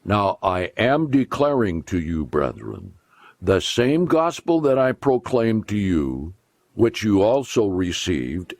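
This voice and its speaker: A man with a fairly low voice reads scripture in a solemn, deliberate way. His pitch moves in a lively, expressive range as he stresses key phrases. He takes his time, leaving measured pauses between phrases. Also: no room sound. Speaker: pastor reading scripture.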